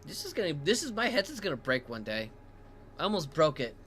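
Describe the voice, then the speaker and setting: Male game streamer on headset; bright, easygoing, stumbles over hard words; faint background hiss. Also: fairly high pitch. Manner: reads lore in a slower, calmer voice. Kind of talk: casual game stream chat and reading aloud.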